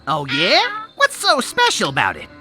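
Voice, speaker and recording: An eccentric, swooping shopkeeper over music. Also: male voice, high voice, huge pitch swings, moderate pace. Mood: dismissive. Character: eccentric, shrewd, haggling antique shopkeeper. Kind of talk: animated adventure game character acting. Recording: game music behind the voice.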